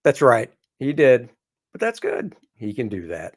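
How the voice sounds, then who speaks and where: A man's voice: medium pitch, huge pitch swings, unhurried. A man co-hosting a casual livestream, rambling and joking with plenty of fillers. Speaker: comic artist co-host.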